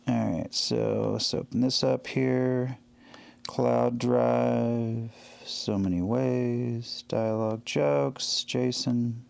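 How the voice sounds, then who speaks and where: A man narrating his work casually as he goes, step by step, in short, halting phrases. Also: medium pitch, steady tone, creaky, darkish tone. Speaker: livestreaming audio producer.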